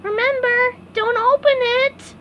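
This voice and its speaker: Brief: woman putting on a very high, bouncy cartoon sponge boy, always thrilled. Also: a little slow, lo-fi sound, slight background noise, full character voice. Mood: excited. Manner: squealing, breathless excitement. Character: cheerful excitable male sea sponge.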